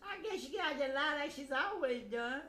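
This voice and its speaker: A woman's voice: sits high, expressive, fairly quick, fairly bright tone. Older woman, casual rambling storyteller, blunt, conversational, quoted dialogue, echoey room, slight background noise. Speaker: grandmother recounting family stories.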